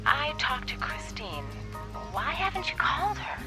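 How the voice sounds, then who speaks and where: An actress playing a brisk, teasing, supportive woman friend in a radio drama, familiar and direct. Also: high-pitched, sing-song, music in the background. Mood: supportive urging.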